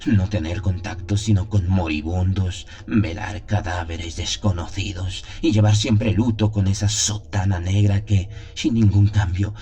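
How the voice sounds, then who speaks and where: A man's voice: fairly low pitch, warm tone, close to an everyday voice. A man playing an anguished, lovesick young seminarian who solemnly narrates his torment in a radio play. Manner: solemn, brooding, theatrical.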